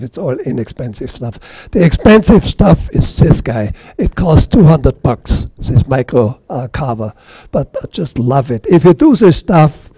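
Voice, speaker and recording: Brief: middle-aged Austrian man, mellow tone, slightly high voice, halting club demonstrator, dry close sound. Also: husky, no room sound. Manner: halting, self-deprecating, many restarts. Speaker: woodturning demonstrator. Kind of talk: casual workshop demonstration. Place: club meeting room.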